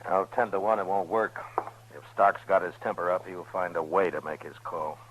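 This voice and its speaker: An actor playing a firm, weary, fair-minded US marshal in a radio western, blunt, laconic and authoritative. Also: male voice, low voice, fairly even intonation, normal speed, a bit bright, slightly rough. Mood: calm, probing. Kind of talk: 1950s radio western acting. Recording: dull old radio recording.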